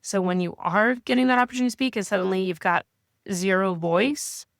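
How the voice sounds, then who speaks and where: A woman in her thirties who is getting over a cold, speaking as a guest in an interview in a measured, reflective way. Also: medium pitch, dry recording, clean studio sound. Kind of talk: interview conversation.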